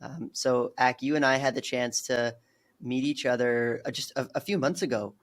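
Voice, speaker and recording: A man's voice: mid-range voice, moderate pace. A man hosting a podcast as a coach, upbeat and welcoming as he brings on a guest. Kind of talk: podcast interview.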